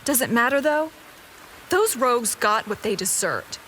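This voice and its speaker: Woman acting a callous, fairly high-voiced soldier. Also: big pitch swings, unhurried, a bit bright, a little hiss or hum. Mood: dismissive. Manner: dismissive. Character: callous, dismissive female imperial soldier. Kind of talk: fantasy audio drama acting.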